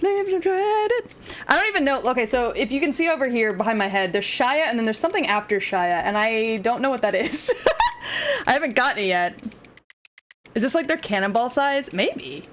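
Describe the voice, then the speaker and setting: A young woman with a deep, smoky voice, chatting and cursing casually while streaming a game. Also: big pitch swings, moderate pace, a bit bright, a little hiss or hum. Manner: crude, swears, laughs, sings along. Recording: faint music behind.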